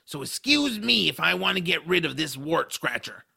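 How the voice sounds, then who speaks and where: A man voicing a bitter, sarcastic male troll in an audio drama, with a harsh gravelly voice and a grumbling manner. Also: fairly high pitch, sing-song, normal speed, sharp tone, full character voice. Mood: bitter, mocking. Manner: sardonic, grumbling.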